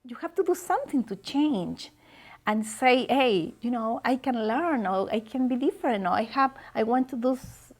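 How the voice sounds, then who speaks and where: Woman, emphatic, encouraging, slightly high voice. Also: animated, normal speed. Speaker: interviewee. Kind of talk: casual interview talk.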